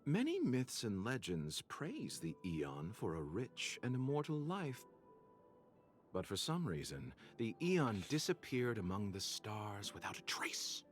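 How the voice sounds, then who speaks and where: An actor voicing a composed, mysterious male merchant in a video game, talking smoothly with soft amused laughs. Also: lowish voice, wildly swooping pitch, unhurried. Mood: musing. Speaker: game character. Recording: game audio with faint music behind.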